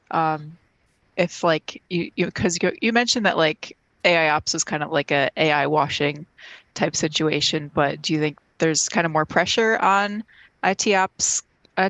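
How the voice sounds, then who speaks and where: A woman's voice: on the low side, fairly bright tone, no echo, rough recording. Woman hosting a dry-sounding interview. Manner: casual, asks long questions with fillers. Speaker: podcast host, news editor.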